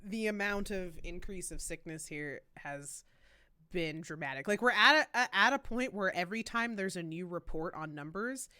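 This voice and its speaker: A woman's voice: lowish voice, moderate pace, pushed and bright, smooth. A woman streamer chatting casually with lots of fillers, sounding congested and worried. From Canada. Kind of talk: casual chat.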